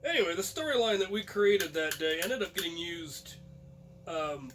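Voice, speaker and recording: A young man hosting a vlog, telling a personal story casually to his viewers in an animated way. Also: high-pitched, moderate pace, noisy background. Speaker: host and storyteller.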